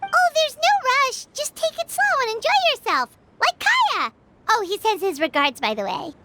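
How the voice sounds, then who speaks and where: A woman voicing a tiny, excitable, chirpy fairy girl in an English anime game dub, with an American accent. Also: very high-pitched, moderate pace, noisy background. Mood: cheerful. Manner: perky, cartoonish, refers to herself by name. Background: game music.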